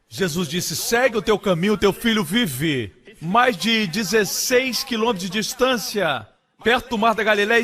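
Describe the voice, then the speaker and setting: Male sermon dubber, slightly high voice, emphatic preaching delivery. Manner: emphatic preaching, leaps higher and louder on key phrases. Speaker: voice-over for a preacher.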